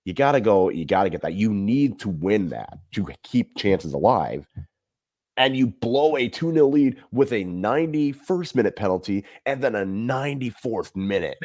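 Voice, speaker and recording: A man co-hosting a casual podcast, giving animated, emphatic analysis of football matches. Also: on the low side, normal speed. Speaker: podcast co-host. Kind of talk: casual sports chat.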